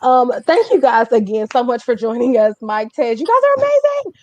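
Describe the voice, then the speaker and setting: A woman's voice: on the high side, sing-song, fairly quick, no room sound, highs cut off. A woman hosting a casual livestream chat, talking in an informal, chatty way with joking asides. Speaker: host of a music community livestream.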